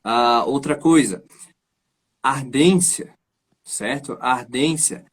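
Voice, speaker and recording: Brazilian male host and voice coach, husky mid-range voice, casual explanatory delivery. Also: medium pitch, slow pace. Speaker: live show host and voice coach.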